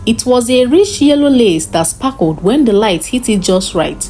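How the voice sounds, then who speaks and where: A woman narrating a scripted folktale in an expressive, dramatic storytelling style. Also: a little high, normal speed, a little hiss or hum.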